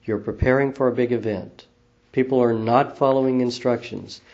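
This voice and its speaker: Quiet, gravelly-voiced church minister. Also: male voice, mid-range voice. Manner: calm, measured and earnest. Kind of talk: scripted devotional talk. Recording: slightly dull lo-fi recording.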